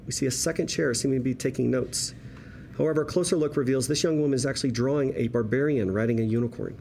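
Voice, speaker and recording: A man's voice: mid-range voice, darkish tone. Man narrating a script, faint room noise. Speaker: script narrator reading stage directions. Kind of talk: scripted narration at a table read.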